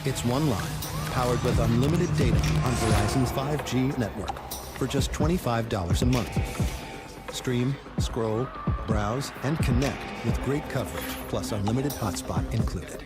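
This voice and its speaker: Guy voicing a commercial, medium pitch, loud music underneath. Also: normal speed. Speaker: advertisement announcer. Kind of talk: scripted ad narration.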